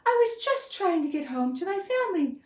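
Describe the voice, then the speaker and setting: A woman doing a squeaky little mouse. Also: sing-song, a little fast, darkish tone, echoey room, recorded from a distance. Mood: pleading. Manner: squeaky, trembling pleas. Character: tiny timid male mouse, frightened and pleading.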